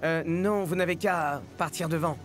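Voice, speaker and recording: A man's voice: a little high, moderate pace, lightly acted. A man voicing an earnest, worried young footballer in a cartoon, with a questioning manner. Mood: puzzled. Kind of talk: animated cartoon dubbing. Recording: music behind.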